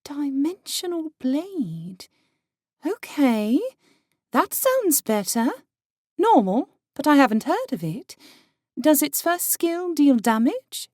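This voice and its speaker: Audiobook game-world woman: commanding, appraising, dry wit, soft dark tone, unhurried. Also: high-pitched, sing-song, no echo, full character voice. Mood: brisk, curious. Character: Lex, self-assured, appraising young woman of a game world. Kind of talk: audiobook character acting.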